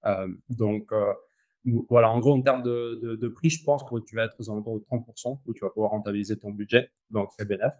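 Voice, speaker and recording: A man with an Argentine accent, talking casually as an interview guest, relaxed and slangy, with frequent fillers and restarts. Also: fairly low pitch, a little fast, no room sound. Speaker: guest, company founder.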